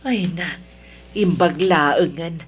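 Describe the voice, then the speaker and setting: A woman's voice: low voice, slow, breathy edge, heavily acted. A woman with an Ilocano Filipino accent playing a nagging, scornful middle-aged mother-in-law in a radio drama, sarcastic and demanding. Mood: prying, condescending. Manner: berating, sarcastic.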